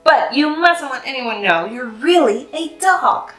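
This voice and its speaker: A woman's voice: sits high, animated, unhurried, a bit bright. A woman voicing a confident, bossy little girl in a storybook reading, speaking emphatically. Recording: echoey room with faint music.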